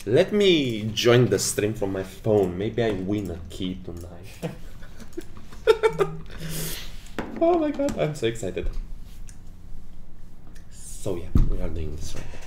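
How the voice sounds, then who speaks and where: A man's voice: on the low side, darkish tone, a little hiss or hum. Giggly man with a non-native English lilt. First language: not English. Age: 20s. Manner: giggly, reads chat aloud, frequent laughs. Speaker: game developer hosting a stream. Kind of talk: casual livestream chat. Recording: roomy echo.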